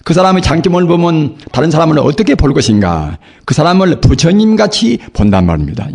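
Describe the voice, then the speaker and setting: An older man, a Buddhist monk, giving a sermon in a hoarse voice from a cold, preaching slowly and earnestly with rhetorical questions. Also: a little high, big pitch swings, darkish tone. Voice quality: gravelly, husky. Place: temple dharma hall.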